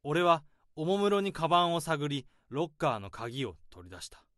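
A man's voice: fairly high pitch, no room sound, clean studio sound. A man doing a probing office-worker sleuth. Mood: brooding narration. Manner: calm, probing, deliberate. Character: sharp office worker turned detective, young man. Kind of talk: radio drama acting.

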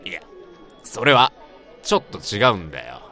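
A man acting in a radio drama as an excitable, sentimental old friend, chatting in an emphatic, colloquial way. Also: low voice, moderate pace, faint music behind, full character voice. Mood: wistful, excited. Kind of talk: radio drama dialogue, casual banter.

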